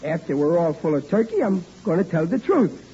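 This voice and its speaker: A bumbling radio sitcom dad, pitched high with a mellow tone. He stammers, repeats himself and blusters in exasperation whenever his schemes wobble. It sounds like a dull, noisy vintage broadcast. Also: big pitch swings, brisk. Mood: sheepish scheming. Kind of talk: 1940s radio comedy acting.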